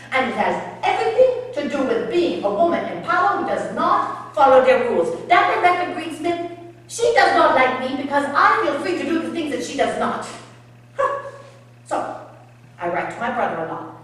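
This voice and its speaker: Brief: a woman doing a proud, scornful Dutch settler woman, lively swooping pitch, heard from afar in an echoey room. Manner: scornful, indignant, clipped non-native grammar. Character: defiant Dutch woman colonist accused of witchcraft. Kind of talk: storytelling performance in character. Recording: echoey room, distant mic, faint hum.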